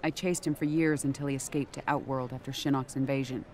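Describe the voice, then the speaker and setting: A woman's voice: extremely low pitch, fairly even intonation, fast, slight background noise. A woman playing a tough, blunt army general in a video game, with a curt, hard-edged delivery. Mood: businesslike. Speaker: military general character. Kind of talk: dramatic video game acting. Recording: loud music underneath.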